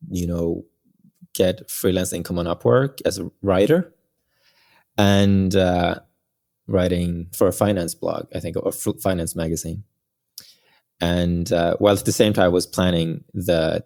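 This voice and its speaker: A man in his thirties, a guest answering interview questions in a relaxed, hesitant way with occasional chuckles. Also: deep voice, gravelly. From Norway. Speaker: guest, company founder.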